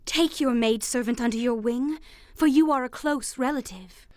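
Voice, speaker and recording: A woman voicing a humble, devoted young widow in a dramatized audio Bible, speaking softly and deferentially. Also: fairly high pitch, normal speed, no room sound, lightly acted. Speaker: voice actor.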